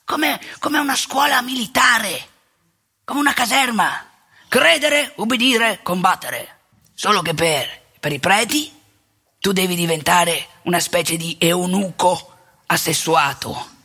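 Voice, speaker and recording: A woman playing an outspoken, rebellious elderly male priest in a colloquial theatrical monologue, wry and impassioned, with a husky, gravelly voice. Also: on the low side, animated, measured pace, pushed and bright, heavily acted. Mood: indignant, emphatic. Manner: colloquial, confiding, swinging into emphatic indignation.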